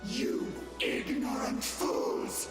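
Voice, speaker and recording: A man's voice: extremely slow, recorded from a distance. A man playing a menacing, grandiose undead lord of chaos in a video game, imperious, taunting and scornful. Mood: wrathful. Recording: roomy echo from afar, loud music.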